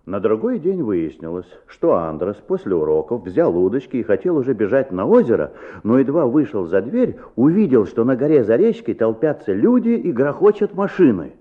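A man's voice: medium pitch, very animated, normal speed, hardly any pauses, narrow, tinny audio. A man acting as the reflective narrator of a children's radio play, telling the tale expressively. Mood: storytelling. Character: warm reflective male storyteller of a children's tale.

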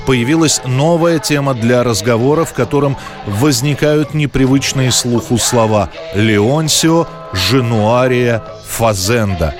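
A man's voice: medium pitch, measured pace. A man reading scripted documentary narration as the narrator of a history programme. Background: faint background music.

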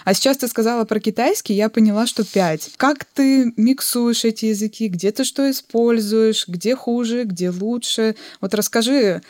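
A woman's voice: on the high side, normal speed, hardly any pauses, a little mellow, dry recording. A young adult woman from Yakutia hosting a podcast. She chats casually with a friend and asks long, rambling questions. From Yakutia, Russia. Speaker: podcast host. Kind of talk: casual chat, interviewing a friend.

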